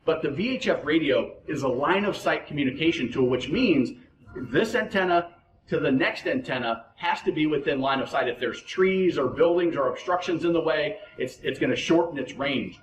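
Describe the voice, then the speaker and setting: A man's voice: on the high side, lively intonation, moderate pace, reverberant, a little hiss or hum, rough recording. A man giving informal, practical boating instruction, speaking plainly and directly to the listener as an instructor.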